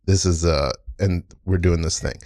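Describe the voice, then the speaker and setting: A man giving casual, encouraging coaching as the host of an improv class podcast, speaking in a halting way. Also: low-pitched, steady tone, a little mellow, no echo, studio quality. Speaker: improv teacher and show host.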